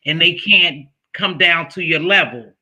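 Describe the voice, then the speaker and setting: A man's voice: fairly high pitch, measured pace, bright, forceful tone. A man hosting a casual interview, chatty, telling stories and asking questions. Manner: chatty, storytelling, asks questions. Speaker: interview host.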